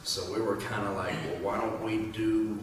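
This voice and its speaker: A man's voice: fairly low pitch, flat delivery, normal speed. A man explaining his script casually as a writer on a panel. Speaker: screenwriter. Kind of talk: panel question-and-answer, casual. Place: indoor room at a table read event. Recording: distant, very echoey, noisy room.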